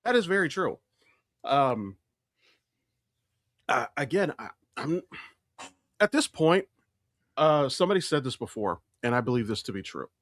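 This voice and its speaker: A man with a medium-pitched voice co-hosts a casual podcast. He is opinionated and blunt, swearing freely as he talks. The sound is dry, with very little room in it. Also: sing-song, a little slow, pauses often, no room sound. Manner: blunt, profane. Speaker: podcast co-host.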